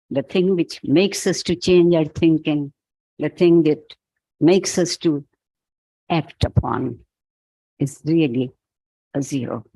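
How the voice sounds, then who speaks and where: A man with a Pakistani accent delivers a philosophical keynote at an unhurried, deliberate pace, pausing and restarting as he thinks aloud. His voice is soft and mellow. The sound is close and dry, with no room echo. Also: a little high. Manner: slow, measured, philosophical, halting. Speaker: keynote speaker. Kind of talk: reflective keynote talk. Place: event hall.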